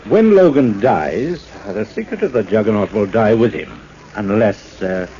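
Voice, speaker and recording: An actor playing a shrewd, calculating industrial promoter in a 1940s radio drama, speaking with pompous, halting deliberation. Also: male voice, lowish voice, expressive, dark tone. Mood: calculating, persuasive. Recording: muffled 1940s radio recording.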